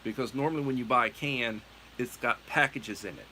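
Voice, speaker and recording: A man's voice: mid-range voice, brisk, sharp tone, slight background noise, poor audio. A middle-aged American man hosting a livestream, chatting in a meandering, self-correcting way. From United States. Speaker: livestream host. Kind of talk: casual rambling chat.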